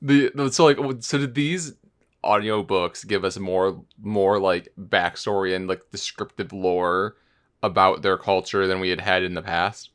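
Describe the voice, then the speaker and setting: A man's voice: sits low, steady tone, unhurried, fairly bright tone. A man co-hosting a podcast, chatting casually and hesitantly, saying "like" constantly. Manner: hesitant, heavy use of "like". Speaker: podcast co-host.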